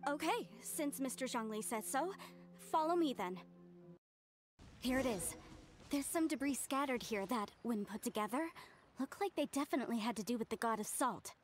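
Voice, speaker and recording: An actress playing an earnest young researcher of ancient ruins in a video game, explaining things. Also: female voice, on the high side, expressive, moderate pace, faint music behind, natural voice. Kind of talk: English video game voice acting.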